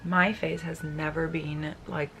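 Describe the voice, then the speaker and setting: A woman in her thirties, raspy from just waking up, chatting to camera in her bedroom with many fillers and restarts. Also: deep voice, level tone, measured pace, a bit bright, slight background noise, rough recording. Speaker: beauty vlogger. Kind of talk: casual talk to camera. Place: bedroom, indoors.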